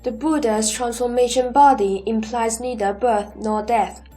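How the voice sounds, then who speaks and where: A woman playing an earnest, questioning holy disciple in a scripted sutra audio drama, speaking formally and in measured phrases. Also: fairly high pitch, steady tone, dark tone, close to an everyday voice. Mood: earnest, challenging. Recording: background music under the voice.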